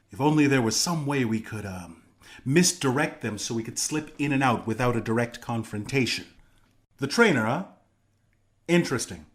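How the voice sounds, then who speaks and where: An actor playing an earnest, friendly young rebel leader in a video game, speaking in a sincere, conversational way. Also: male voice, medium pitch, natural voice. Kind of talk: video game character dialogue.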